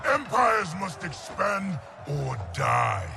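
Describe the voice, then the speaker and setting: A man playing a brutal, arrogant conquering warlord in a video game, bellowing imperiously in a gravelly voice. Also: sits high, big pitch swings, very slow, full character voice. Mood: raging. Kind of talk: dramatic video game villain acting. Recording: loud music behind the voice.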